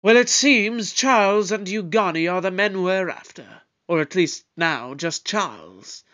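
An actor playing a brisk, eccentric investigator in an audio drama, speaking in a measured, deliberate way. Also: mid-range voice, animated, a bit bright. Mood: grave, urgent.

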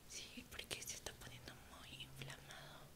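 Young woman whispering softly, ASMR roleplay. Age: young adult. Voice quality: breathy whisper. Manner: soft, reassuring, unhurried. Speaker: ASMR roleplayer playing a dentist. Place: small indoor room.

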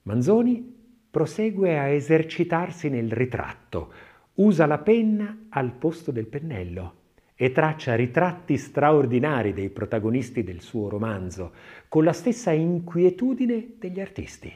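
A man narrating a scripted audiobook in an expressive, literary, dramatic reading style. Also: on the high side, unhurried.

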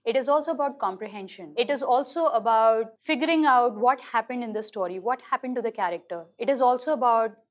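Higher-voiced Indian-accented woman explaining. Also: a little fast, hardly any pauses. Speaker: app team designer interviewee. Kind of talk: explanatory interview conversation. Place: at a café table.

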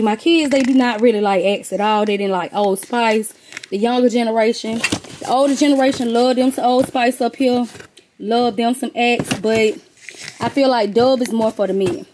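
A woman's voice: fairly high pitch, darkish tone, slight background noise. A middle-aged woman chatting casually to viewers as she shows off products she bought, calling them y'all and honey, filmed on a handheld phone indoors. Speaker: vlogger and gift basket maker. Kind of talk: casual haul vlog. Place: warehouse-style room with boxes, indoors. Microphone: handheld phone camera.

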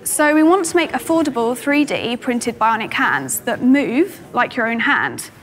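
A dry-witted English student coder. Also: female voice, a little high, lively intonation, measured pace, crowd noise. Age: young adult. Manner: dry, sarcastic, a little flustered. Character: young English female student programmer, awkward but dry.

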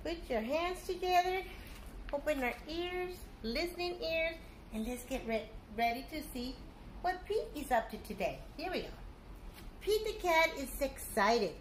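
High-voiced middle-aged woman reading to children. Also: unhurried, a little hiss or hum, low-quality recording. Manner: animated, simple phrasing addressed to children. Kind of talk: expressive picture-book read-aloud for young children. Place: living room at home, indoors.

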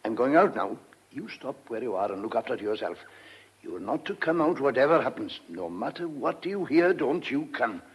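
A gruff country farmhand in a radio play. His voice is husky and rough, mid-pitched for a man. He talks in short, folksy phrases, shrewd and loyal. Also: sing-song, normal speed. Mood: confident, secretive. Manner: folksy, terse country speech. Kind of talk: radio drama acting.

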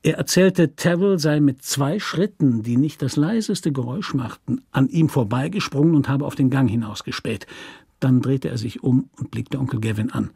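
A man's voice: medium pitch, normal speed, creaky, mellow, husky, no room sound, clean studio sound. A man narrating a literary radio story as a scripted reading.

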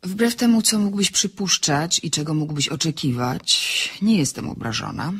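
A woman's voice: low-pitched, very animated, normal speed, slightly rough, full character voice. An actress playing an inquisitive, self-possessed young woman in a radio play, teasing with dry, ornate irony. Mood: composed, formal. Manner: teasing, ornate, dryly formal phrasing.

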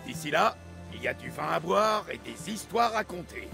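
An actor playing a grizzled older warrior and storyteller in a French video game dub, speaking in a measured, reflective way. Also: male voice, fairly high pitch, very animated, gravelly, full character voice. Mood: grave, measured. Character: grizzled old one-eyed Greek warrior, a storyteller. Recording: music behind the voice.

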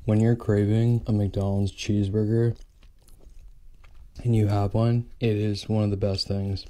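A young man with a deep voice talks in a flat, even tone for a food ASMR eating video. The recording has clear background noise. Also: a little slow. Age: young adult. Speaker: mukbang eater. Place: indoors at a table of fast food.

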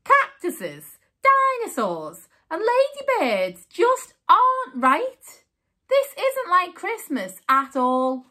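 A woman voicing a fretful, squeaky male mouse who exclaims in drawn-out, indignant words for a children's storybook. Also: wildly swooping pitch, pushed and bright, full character voice. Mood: exasperated wailing.

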